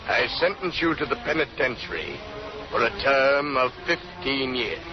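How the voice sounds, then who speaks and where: An actor playing a stern, unforgiving judge, solemn and formal, in declamatory old radio drama style. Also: male voice, mid-range voice, moderate pace, natural voice. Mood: stern condemnation. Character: stern, unforgiving male judge passing sentence. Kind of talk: 1930s-40s radio drama acting. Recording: muffled old radio recording with music.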